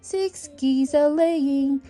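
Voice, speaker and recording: A woman reading a children's Christmas verse aloud in a sing-song, drawn-out, rhythmic way. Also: sits high, dark tone. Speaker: children's storybook reader. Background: background music.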